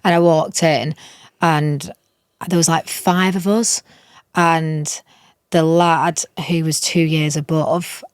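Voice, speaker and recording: Young woman, low voice, chatty storytelling, dry studio sound. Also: steady tone, slow pace, smooth, no echo. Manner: chatty storyteller with frequent restarts. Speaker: musician guest. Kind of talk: casual interview chat.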